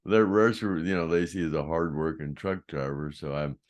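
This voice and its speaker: An older man with a deep voice telling rambling stories in a casual chat, often trailing off and restarting. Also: steady tone, normal speed. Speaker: host, former band roadie.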